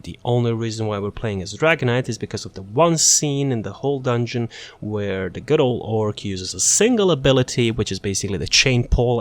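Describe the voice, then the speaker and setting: Casual, low-voiced man presenting a game guide. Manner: offhand, self-deprecating, rambling. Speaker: gaming video presenter. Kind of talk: casual game build walkthrough.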